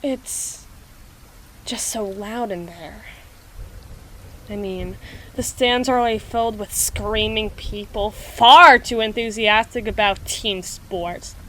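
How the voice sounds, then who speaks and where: A woman playing a proud, anxious, flustered schoolgirl in an ASMR audio roleplay, speaking in halting, formal phrases. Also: mid-range voice, bright, forceful tone, a little hiss or hum, rough recording. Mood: overwhelmed. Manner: halting, prim, formal phrasing with trailing restarts.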